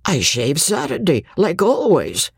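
A woman's voice: fairly low pitch, huge pitch swings, a little slow, vocal fry, slightly rough, dry recording, clean studio sound, pitched above the performer's own voice, full character voice. A woman doing a mild puzzled husband. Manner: mild, bewildered.

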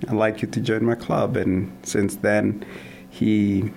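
Low gravelly Kenyan man. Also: level tone, slow pace, a little mellow. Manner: hesitant, many fillers and restarts, laughs easily. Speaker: guest, running coach and athlete. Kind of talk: casual interview conversation.

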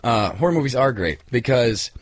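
A man in his thirties talks casually as a guest in an interview. His voice is average in pitch and recorded very dry. He speaks informally and rambles as he explains. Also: big pitch swings, moderate pace, no echo. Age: 30s. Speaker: interview guest, filmmaker and musician. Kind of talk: casual chat.

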